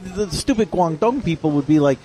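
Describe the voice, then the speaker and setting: A man co-hosting a casual chat podcast, bantering, quick to argue and then give in. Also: on the high side, expressive, dark tone. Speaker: podcast co-host.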